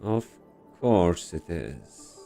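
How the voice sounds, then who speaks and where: A man casually reacts to a game he is playing. His speech is very slow and halting, in short muttered comments, and his pitch moves around in a mellow tone. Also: big pitch swings, creaky, faint music behind.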